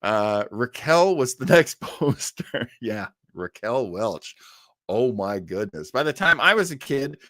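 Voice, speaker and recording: A man's voice: medium pitch, sing-song, takes it slowly, a bit bright, no echo. Chatty, rambling man with a dry, close sound. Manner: chatty, rambling, effusive with emphatic repeats. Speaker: host of a web show on old time radio. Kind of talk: casual livestream chat, answering audience comments.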